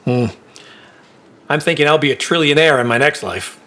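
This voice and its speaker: An actor playing a friendly, easygoing corporate receptionist in a naturalistic audio drama. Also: male voice, fairly high pitch, normal speed, pushed and bright. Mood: easygoing, curious.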